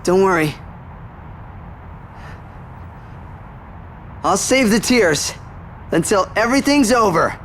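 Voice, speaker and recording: An actor playing a young, hot-headed and earnest warrior son in a fantasy video game, with a boyish, emotional manner. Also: male voice, sits high, animated, normal speed, a bit bright, slight background noise.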